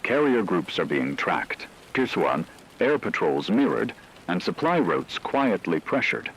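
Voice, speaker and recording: A man playing a solemn radio news correspondent, delivering a scripted emergency war broadcast in a measured, dramatic way. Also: sits low, very animated, a bit bright, slight background noise, exaggerated voice, low-quality recording. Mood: grave, urgent.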